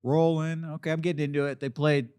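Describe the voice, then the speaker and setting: A man co-hosting a podcast, telling animated stories in casual chat, breaking into loud impressions and falsetto. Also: fast, clear, resonant tone. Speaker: podcast co-host.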